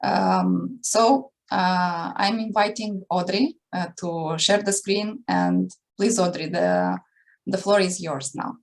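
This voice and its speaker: Woman moderator, halting handover, slow and low with vocal fry, video call. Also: steady tone. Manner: hesitant, halting handover with fillers. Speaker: webinar moderator. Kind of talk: introducing a speaker.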